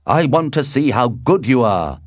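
A man voicing a brash, provocative young swordsman in a storybook, loud and challenging. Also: medium pitch, big pitch swings, measured pace, dry recording, studio quality, voice pushed up. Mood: defiant, goading.

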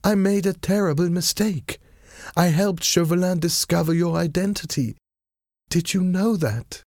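A man's voice: high-pitched, measured pace, a little mellow, no room sound, clean studio sound, pitched above the performer's own voice. A man voicing an anxious, emotional noblewoman in an audiobook, earnest in the way the words are delivered. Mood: remorseful. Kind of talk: acted audiobook dialogue.

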